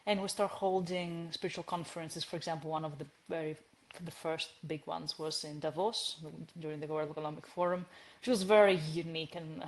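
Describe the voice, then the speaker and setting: A woman in her thirties from Greece, speaking as a podcast guest who tells her story in an animated way, with frequent restarts and light laughs. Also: deep voice, low-quality recording. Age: 30s. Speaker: guest, entrepreneur. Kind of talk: conversational podcast interview.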